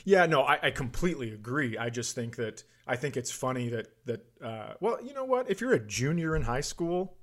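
Male, middle-aged, mid-pitched; loose comic podcast banter, crude storytelling with acted-out quotes. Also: sing-song, normal speed, few pauses. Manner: crude joking, acts out quoted lines. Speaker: comedy podcast co-host. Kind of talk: casual comedic banter and storytelling.

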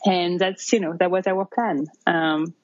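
A woman's voice: lowish voice, fairly even intonation, no room sound. French-accented woman, muffled call audio. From France. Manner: halting, many fillers and restarts. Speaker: interview guest. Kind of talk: casual interview.

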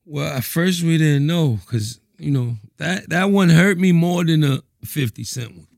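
A man's voice: on the high side, unhurried, vocal fry, no echo, clean studio sound. A man in casual interview talk, laid-back, often trailing off and repeating words before dropping to a mumble. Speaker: rapper guest.

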